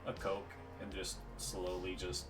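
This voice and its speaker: A man narrating as game master for a tabletop roleplaying game, relaxed and laughing often. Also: deep voice, a little slow, over music.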